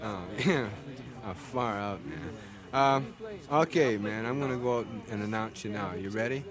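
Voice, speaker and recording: A man playing a slow-witted, testy hippie stage announcer with stoner slang in a live comedy sketch. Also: on the low side, natural voice. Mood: spaced-out. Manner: drawling stoner slang, forgetful. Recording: noisy live comedy recording with crowd.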